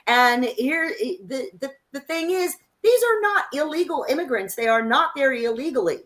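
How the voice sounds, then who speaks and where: A woman with a fairly high voice co-hosts a political discussion show. She talks off the cuff, emphatic and opinionated, pausing to gather her thoughts. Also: lively intonation, fairly bright tone, faint music behind.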